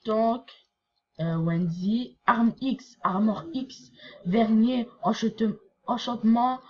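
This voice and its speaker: A woman's voice: fairly high pitch, slow pace, muffled recording. A young woman from Quebec with a Québécois accent, chatting casually as she reads and reacts to a manga chapter, full of fillers and asides. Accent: Québécois French. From Quebec, Canada. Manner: chatty, rambling, many fillers. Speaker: anime reaction vlogger.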